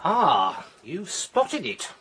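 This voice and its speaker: An actor playing an obliging, deferential film projectionist in a radio drama. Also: male voice, on the high side, wildly swooping pitch, extremely slow, fairly bright tone, exaggerated voice. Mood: eager. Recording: clearly noisy background ambience.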